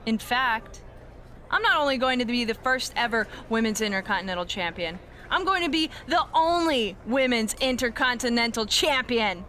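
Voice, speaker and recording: A woman's voice: fairly high pitch, expressive, normal speed, a little hiss or hum. An actress playing a boastful, bratty woman wrestling champion in a video game cutscene, smug and mocking. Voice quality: bright, taunting.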